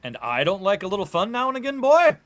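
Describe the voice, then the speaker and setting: A man's voice: sits high, huge pitch swings, quick pace, no room sound. A man playing a stern, exasperated older soldier and mentor, scolding with formal diction in a comic book table read. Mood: indignant.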